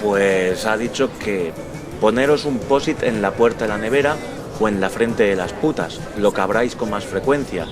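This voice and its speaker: Deferential, subdued young apprentice played by a man, lowish pitch, radio soap over loud music. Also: moderate pace, close to an everyday voice. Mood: hesitant. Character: hesitant, downcast young male apprentice archaeologist. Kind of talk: radio soap opera acting.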